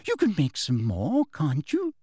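A man voicing a furious middle-aged woman official with a sweetly girlish, shrill voice in an audiobook reading. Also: huge pitch swings, unhurried, mellow, dry recording, clean studio sound, exaggerated voice. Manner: shrill, squawking and shrieking.

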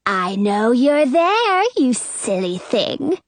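A woman voicing a sly old lady apple seller in a storybook, drawn-out and sing-song in manner. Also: high voice, big pitch swings, a bit bright, no room sound, pitched above the performer's own voice, exaggerated voice. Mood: teasing, coaxing.

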